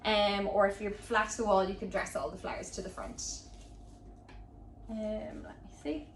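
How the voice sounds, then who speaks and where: A woman's voice: mid-range voice, normal speed. A woman giving a chatty, informal hands-on tutorial as a florist, talking casually as she demonstrates. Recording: roomy echo, distant mic, background noise.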